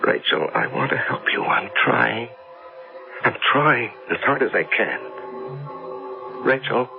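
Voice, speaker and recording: An American actor playing an obsessed, grieving middle-aged businessman in a radio drama, halting and repeating his words. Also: medium pitch, big pitch swings, vocal fry, soft background music, heavily acted. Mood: anguished, pleading. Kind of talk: 1970s radio drama acting. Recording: dull vintage radio recording.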